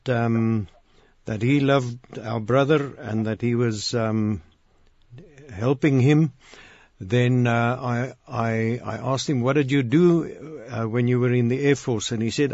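A man hosting an interview programme, speaking haltingly with frequent pauses. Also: mid-range voice, a little mellow. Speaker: radio interview host. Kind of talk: missionary interview programme with anecdotes. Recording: muffled, roomy radio recording.

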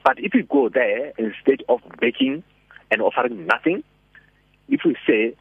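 A man with a raspy voice, a radio guest giving animated, persuasive motivational talk by phone. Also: medium pitch, normal speed, dry recording. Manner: animated, persuasive, asks rhetorical questions. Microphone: telephone. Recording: tinny dry phone line.

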